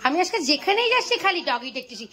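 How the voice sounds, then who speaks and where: A woman's voice: high-pitched, big pitch swings, fast, sharp tone. A woman chatting spontaneously in a vlog, playful and cooing, repeating short phrases. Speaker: vlogger filming daily life.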